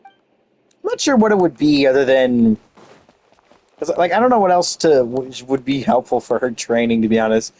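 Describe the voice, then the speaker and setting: A man's voice: medium pitch, lively intonation, moderate pace, long pauses, a little mellow. A man giving casual video game commentary, rambling and thinking aloud as he plays. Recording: faint game music behind.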